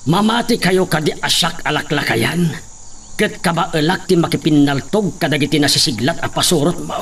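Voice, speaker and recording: A man's voice: a little high, moderate pace, gravelly, fairly bright tone, husky, full character voice. A man playing a proud, defiant old villager in a radio drama. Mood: defiant, measured. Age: older.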